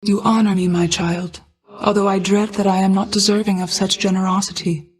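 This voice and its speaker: Video game goddess of night, female actor: ageless, solemn, maternal, stately archaic diction, medium pitch. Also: flat delivery, darkish tone, lightly acted. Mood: gentle, solemn. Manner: formal, measured, stately, archaic phrasing. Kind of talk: scripted video game voice acting.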